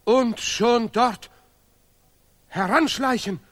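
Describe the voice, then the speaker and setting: High-voiced, very slow, zealous scholar. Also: male voice, a bit bright, full character voice. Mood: suspicious, agitated. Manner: declamatory, chopped phrases in deliberately broken grammar. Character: second pompous male laureate scholar, zealous, sentimental, excitable. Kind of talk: absurdist 1970s German radio play.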